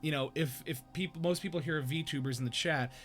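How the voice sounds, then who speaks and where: A man's voice: a little high, a little fast, a bit bright, faint music behind. A young man hosting a casual livestream, rambling and self-deprecating in an upbeat way. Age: young adult. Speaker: stream host.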